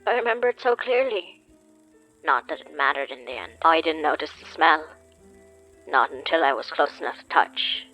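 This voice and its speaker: A haunted woman narrating a horror podcast memory. Her lowish voice is bright and tense, with a radio-like sound and music underneath. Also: lively intonation, normal speed, full character voice, low-quality recording. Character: haunted female narrator recounting a gruesome memory.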